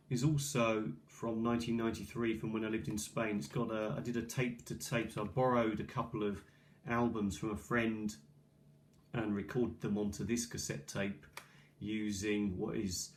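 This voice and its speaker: A middle-aged man with a fairly low voice speaks at a very slow, halting pace. He rambles casually, thinking aloud as he shows things at a table. The homemade tablet recording carries faint noise. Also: darkish tone. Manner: hesitant, musing aloud to himself. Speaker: video maker presenting old cassette tapes. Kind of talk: casual rambling show-and-tell vlog. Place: kitchen table indoors. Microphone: built-in microphone of an ageing iPad. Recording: clearly noisy tablet recording with clicks.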